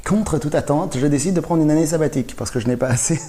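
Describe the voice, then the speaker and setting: A man talks through his own story in a relaxed interview. His voice is soft and mellow, and his telling is lively, dotted with laughs and restarted sentences. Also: on the high side, quick pace. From born in Rwanda, raised in Belgium. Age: 40s. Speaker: guest, comedian.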